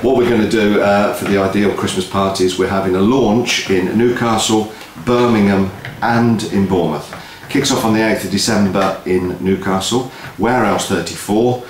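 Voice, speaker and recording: A man's voice: lowish voice, fairly even intonation, normal speed, few pauses. A man giving a casual announcement update as co-host of a video, recorded in an office. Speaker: host of a music event promoters' video update. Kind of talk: casual two-person announcement chat. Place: indoor office room. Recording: roomy echo, noticeable background noise.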